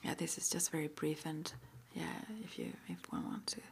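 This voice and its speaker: A woman whispering gently and hesitantly in an ASMR roleplay, as an interviewer asking intake questions in a breathy voice. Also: low voice, big pitch swings, moderate pace. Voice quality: breathy whisper with some creak. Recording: faint background noise.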